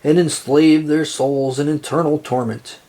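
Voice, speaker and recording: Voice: medium pitch, fairly even intonation, a little slow, dark tone, lightly acted. A storyteller voicing a ghostly male pirate captain who bellows menacing threats in pirate talk, for a children's storybook. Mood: commanding.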